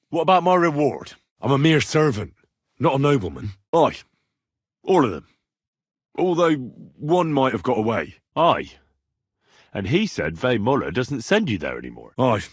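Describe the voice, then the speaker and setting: Young British medieval game hero: husky, down-to-earth, earnest servant lad, very dry sound. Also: male voice, medium pitch, sing-song, a little slow, long pauses, no room sound. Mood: frank, down-to-earth. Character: plain-spoken young male medieval servant and adventurer. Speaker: video game voice actor. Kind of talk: scripted game character dialogue.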